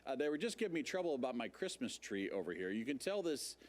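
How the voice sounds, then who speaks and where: A man hosts a live TV show, his voice swinging up and down with animation. He jokes freely and makes a casual pitch to viewers. A faint hum of studio noise sits behind him. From Nebraska. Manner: lively, jokey, quick to laugh. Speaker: TV show host. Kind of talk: casual on-air chat and membership pitch.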